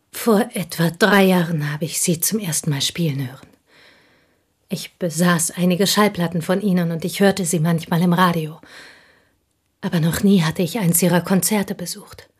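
A low, husky woman's voice in a radio drama. She is an intense, bitter confidante confessing fervently and haltingly, her breathy tone dropping toward whispers. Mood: solemn, yearning. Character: intense, embittered provincial Frenchwoman, confessing devoted confidante. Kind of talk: German radio play acting, dramatic monologue.

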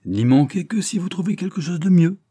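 A man voicing a careless, lovestruck young lord who speaks in a loud, flippant way. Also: mid-range voice, brisk, no room sound, studio quality, pitched above the performer's own voice, lightly acted. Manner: boisterous, flippant.

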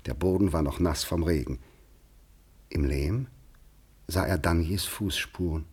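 Tiefer, rauer Hörspielerzähler. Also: male voice, sits low, measured pace, a little mellow, breathy edge. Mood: composed, storytelling. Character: sober literary narrator of a radio play. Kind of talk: scripted narration.

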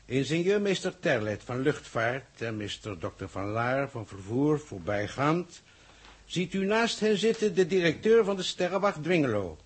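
Een acteur die in een hoorspel uit de jaren zestig een onverstoorbare, ontwijkende regeringsleider speelt, formeel, afgemeten en droog ironisch. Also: male voice, fairly high pitch, very animated, husky. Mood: suave, ironic. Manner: formal, measured, dryly ironic, condescending. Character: unruffled, evasive, dryly ironic male head of government. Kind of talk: 1960s Dutch radio drama acting.

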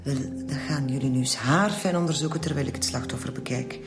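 An actress with a Flemish accent playing a dry, self-assured forensic pathologist in a radio drama, speaking in a matter-of-fact way. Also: female voice, very deep voice, fast talker, creaky, over music. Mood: dryly matter-of-fact.

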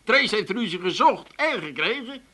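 An actor playing a gullible, earnest believer in horoscopes with an Amsterdam accent in a comedy radio play, explaining things naively and hesitantly. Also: male voice, high voice, animated, moderate pace, pushed and bright, full character voice. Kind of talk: 1980s Dutch comedy radio play acting.

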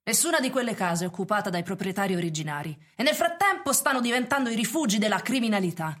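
A woman's voice: mid-range voice, expressive, fast talker, fairly bright tone, natural voice. A woman playing a hard-line, impatient police chief in a radio drama, arguing insistently and scornfully. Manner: insistent, argumentative.

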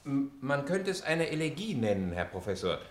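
An earnest middle-aged former pupil played by a man speaks in a radio drama with scholarly formality and deference. His mid-range voice moves up and down in lively fashion and rings with roomy echo. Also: medium pitch, normal speed, lightly acted. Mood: earnest, deferential. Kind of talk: German radio play acting.

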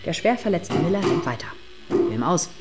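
A woman's voice: lowish voice, very animated, moderate pace, over loud music. A woman playing a sober, sceptical police detective in a German radio crime drama, dry and thoughtful in delivery.

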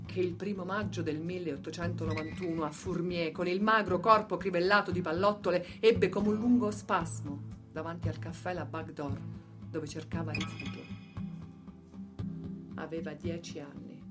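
A woman's voice: lowish voice, natural voice. An actress playing an indignant, accusing woman orator in a theatrical radio drama recitation. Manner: accusatory, theatrical, swinging from hushed to loud. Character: indignant woman orator accusing those who shot workers. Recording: music bed under the voice. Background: plucked guitar music.

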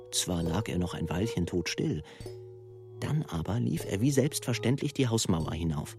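A man giving a measured, reflective literary reading of letters and verse. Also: fairly low pitch, vocal fry.

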